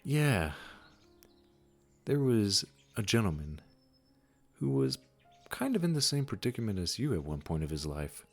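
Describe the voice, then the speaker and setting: A man playing a gentle, wise supernatural gardener in a comforting audio roleplay, speaking soothingly and reassuringly straight to the listener. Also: fairly low pitch, very animated, creaky, faint music behind, heavily acted. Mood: reflective, tender. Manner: soothing, unhurried, reassuring, with soft chuckles.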